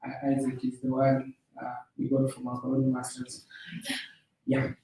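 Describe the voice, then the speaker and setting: A man with a Zambian accent moderating a session, introducing it informally and haltingly. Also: mid-range voice, monotone, mellow. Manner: hesitant, halting. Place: conference room. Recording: very echoey room, distant mic.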